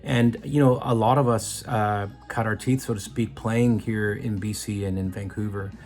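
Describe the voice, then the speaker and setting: A man's voice: on the low side, fairly even intonation, normal speed, darkish tone. A middle-aged man from Mexico telling a personal story in an earnest, reflective way, often restarting his sentences. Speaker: musician. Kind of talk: personal story told to camera.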